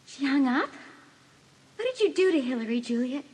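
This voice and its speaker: A woman's voice: high voice, sing-song, normal speed, darkish tone. A woman playing an anxious, earnest teenage girl in a dramatised audiobook. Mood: reproachful, worried.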